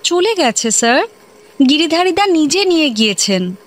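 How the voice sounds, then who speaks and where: An actress playing a polite, deferential office stenographer in a comedy radio play. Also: female voice, high voice, big pitch swings, normal speed. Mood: dutiful. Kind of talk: Bengali comedy radio drama acting.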